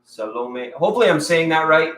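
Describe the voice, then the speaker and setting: A man's voice: fairly high pitch, animated, fairly quick, a bit bright. A Canadian man hosting a live tarot stream, chatting in a rambling, upbeat way and thanking viewers by name. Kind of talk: casual live-stream chat.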